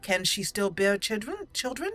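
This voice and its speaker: Woman, gameplay host, bright forward voice, improvised in-character roleplay, thoughtful, halting, slightly formal, background music. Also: medium pitch, very animated.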